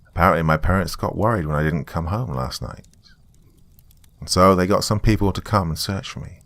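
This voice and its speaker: A man's voice: deep voice, brisk, a bit bright, no echo. A man reading a scripted first-person story as a dramatic narrator.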